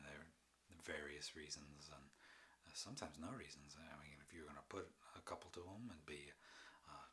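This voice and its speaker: A man performing a halting, rambling confessional monologue as an anguished, troubled man, with sighs and bitter emphasis. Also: low-pitched, a bit bright. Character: anguished, mentally ill man confessing and defending his drinking. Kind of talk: dramatic stage monologue, confessional.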